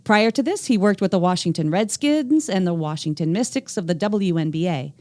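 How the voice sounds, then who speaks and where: A woman's voice: on the low side, animated, brisk, darkish tone, no room sound, studio quality. A woman co-hosting a podcast, chatting casually and reading out the guest's introduction. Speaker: podcast co-host.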